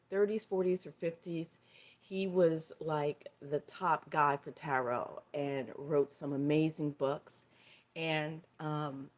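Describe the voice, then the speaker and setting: A middle-aged woman with a dry, slightly hoarse voice, talking loosely on a live stream, rambling with fillers and correcting herself. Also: low voice. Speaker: live stream host and tarot reader. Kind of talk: casual spoken livestream talk.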